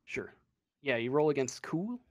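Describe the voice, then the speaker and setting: A man narrating a tabletop game as game master in a casual, halting, improvised way. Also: mid-range voice, very animated, no echo, clean studio sound. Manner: halting, hesitant, casual delivery.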